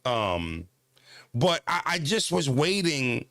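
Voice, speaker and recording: A man's voice: mid-range voice, big pitch swings, slow pace, a bit bright, no echo, studio quality. A man in his thirties talking casually to camera about battle rap and self-care, loose and profane, full of "you know what I mean.". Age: 30s. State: just worked out at the gym. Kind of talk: casual vlog talk to camera.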